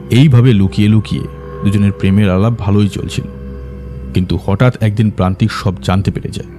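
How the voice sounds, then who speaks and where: A man playing a composed, confident detective who explains a case in a measured way in an audio drama. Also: fairly low pitch, warm tone, natural voice. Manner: measured, expository. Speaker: detective character.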